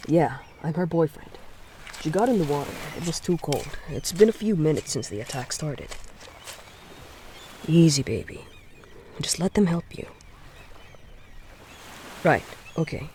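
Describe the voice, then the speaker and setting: A caring young boyfriend acted out by a man speaks slowly in a slightly high voice over noticeable background ambience. His delivery is intimate and reassuring, often dropping to a breathy hush, in the manner of a comfort audio roleplay. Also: expressive, a little hiss or hum.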